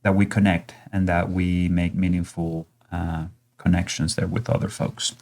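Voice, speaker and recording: Low-voiced earnest male guest, accented English. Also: flat delivery, normal speed, vocal fry, a little mellow. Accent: non-native accent in English. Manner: earnest, encouraging, often checks in with "right?". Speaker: college language instructor guest. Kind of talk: conversational interview.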